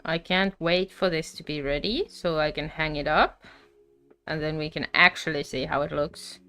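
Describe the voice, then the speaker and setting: Woman, smooth low voice. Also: steady tone, pushed and bright, faint music behind. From Finland. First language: Finnish. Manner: chatty and unhurried, with frequent fillers. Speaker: crochet livestream host. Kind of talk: casual live crafting chat.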